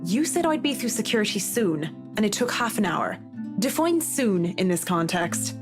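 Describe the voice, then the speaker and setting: A woman's voice: mid-range voice, very animated, normal speed, close to an everyday voice. An actress playing a polite but impatient young woman designer in a visual novel game, formal in manner. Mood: irked. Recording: music playing behind the voice.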